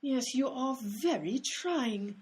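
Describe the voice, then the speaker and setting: A woman plays a sharp-tongued amateur sleuth in a radio comedy. Her voice is fairly high and swings widely, with a bossy, sarcastic snap. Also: lively intonation, slow pace. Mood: impatient, sarcastic. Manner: snappy, sarcastic.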